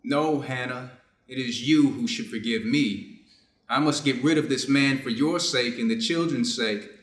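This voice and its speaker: A man playing a conflicted, dutiful surgeon in a reader's theater piece, speaking in a measured, formal, earnest way. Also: mid-range voice, natural voice. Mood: grave, deferential. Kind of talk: reader's theater stage reading. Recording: echoey room.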